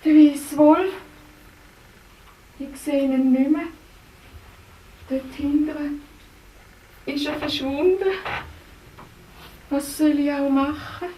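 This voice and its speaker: Woman playing a young princess, high voice, pleading, distant roomy sound. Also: steady tone, slow, long pauses, mellow, a little hiss or hum. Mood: worried. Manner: pleading, talks to the children. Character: young anxious princess with long hair. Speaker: children's storyteller. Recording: roomy live stage sound from far off, faint music.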